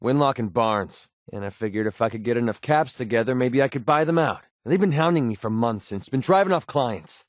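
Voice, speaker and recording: A man's voice: mid-range voice, lively intonation, brisk, hardly any pauses, no room sound, studio quality, close to an everyday voice. An American man playing a cynical, wisecracking young mercenary in a video game, with a casual, sarcastic, slangy delivery. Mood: guarded. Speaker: video game companion character.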